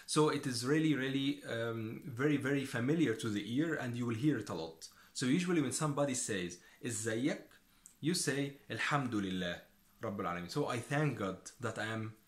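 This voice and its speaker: A man with an Egyptian accent and a medium-pitched voice teaches a language lesson. He is a native Arabic speaker who explains deliberately, saying words and phrases over again so learners can follow. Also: echoey room.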